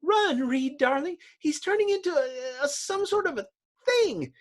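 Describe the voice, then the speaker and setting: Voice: sits high, very animated, measured pace, voice pushed up. A performer voicing an anxious, tender young woman who speaks in a breathless, pleading, melodramatic way in a comic-book drama. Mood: horrified, pleading.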